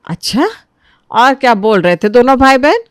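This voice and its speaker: A woman's voice: on the high side, animated, moderate pace, clear, resonant tone, no room sound, studio quality, close to an everyday voice. A woman voicing a suspicious, bossy older mother-in-law in a dramatized family story. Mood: indignant, nosy.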